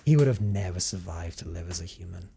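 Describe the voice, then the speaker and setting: A man's voice: low-pitched, expressive, gravelly, warm tone, a little hiss or hum. A man playing a brooding, possessive vampire recluse in an audio roleplay monologue, with formal, theatrical phrasing and a teasing streak. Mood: remorseful, haunted. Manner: formal, theatrical, deliberate phrasing with condescending endearments. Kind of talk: dramatic first-person audio roleplay monologue.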